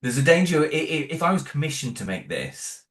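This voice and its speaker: A man's voice: fairly high pitch, sing-song, a little fast, fairly bright tone. A man, the creator of an audio drama, talking in a casual interview. He is modest and hesitant, with many restarts. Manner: modest, self-deprecating.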